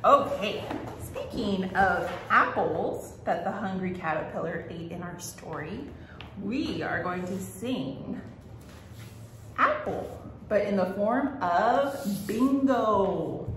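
A woman reads to young children as a storytime host, with a very animated, swooping delivery. She takes her time over phrases and speaks to the children directly with expressive exclamations. The room is strongly echoey. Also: medium pitch, takes it slowly. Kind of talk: children's read-aloud storytime. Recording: echoey room with faint noise.

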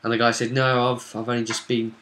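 Bright-voiced young man, halting and rambling. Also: mid-range voice, flat delivery. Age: young adult. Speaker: game master recapping a tabletop role-play session. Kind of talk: casual unscripted vlog recap. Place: small home room, indoors.

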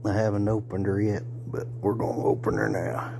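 A man, hoarse and losing his voice, chatting in a folksy, joking way while vlogging on a phone held at arm's length. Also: lowish voice, steady tone, brisk, warm tone, slight background noise. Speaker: railfan vlogger. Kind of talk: casual folksy vlog. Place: outdoors by a car, then indoors at home.

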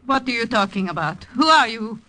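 Piercing, suspicious Dutch aunt. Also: female voice, fairly high pitch, lively intonation, a little fast. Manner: sharp, agitated. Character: alarmed, suspicious Dutch wife, older aunt. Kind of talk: old-time radio drama acting.

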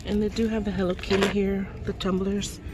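A woman narrating as she films store shelves, reading out products and prices with soft laughs. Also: mid-range voice, little pitch movement, brisk. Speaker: vlogger. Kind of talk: casual shop-with-me narration. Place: large store aisle. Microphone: phone held while filming. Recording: music overlay loud behind voice. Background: store noise and music.

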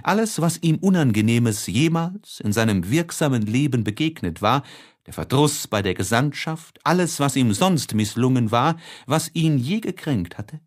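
A male audiobook narrator reads classic literature with dramatic, measured delivery. His medium-pitched voice moves through a very lively range, rising and falling expressively.

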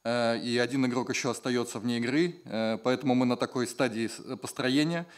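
Hesitant interpreter at a press conference. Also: male voice, medium pitch, steady tone, a little fast. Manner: hesitant, many filler sounds while translating. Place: press room at a basketball arena.

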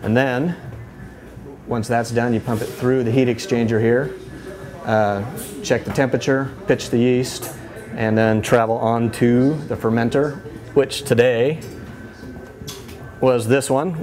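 A man in his thirties, a head brewer giving a casual tour of his small brewery, relaxed and full of fillers and restarts. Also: medium pitch. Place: small brewery inside a bar, among steel tanks. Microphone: lapel mic.

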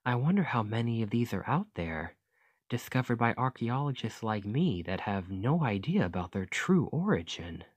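A man playing a gentle, curious fantasy archaeologist in an ASMR roleplay, speaking in a formal, musing, articulate way. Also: medium pitch, big pitch swings, moderate pace, husky, no room sound. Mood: kindly, earnest.

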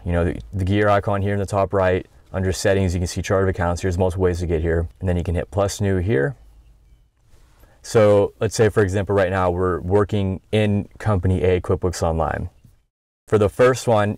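An American man in his thirties with a low-pitched voice walks through a software tutorial. He explains step by step in a conversational, informal way. The sound is dry, with very little room in it. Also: no echo. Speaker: tutorial instructor.

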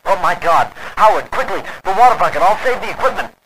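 An actor playing a nervous, formal 1920s radio news anchor of about thirty, speaking precisely and haltingly in old-time radio drama style. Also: male voice, sits high, expressive. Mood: panicked. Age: 30s. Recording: noisy old phonograph-style recording.

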